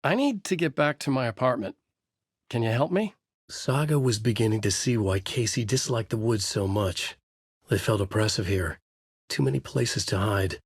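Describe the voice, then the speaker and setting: An actor playing a troubled novelist in a horror video game, narrating his dark story in a husky, grave, deliberate noir style. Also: medium pitch, animated, dry recording, studio quality. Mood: troubled, urgent.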